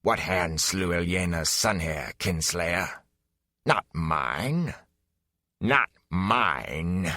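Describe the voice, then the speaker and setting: A man playing a menacing, mocking villain who serves darkness, theatrical and forceful, in a fantasy audiobook. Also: low voice, lively intonation, very slow, no room sound, clean studio sound, exaggerated voice. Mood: accusing. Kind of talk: fantasy audiobook character acting.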